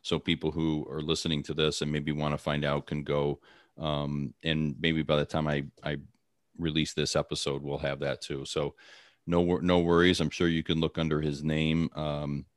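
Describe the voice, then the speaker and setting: A man's voice: a bass voice, level tone, moderate pace, dry recording, studio quality. A man hosting a podcast interview in a casual, friendly way, with many fillers and repeated words. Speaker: podcast host.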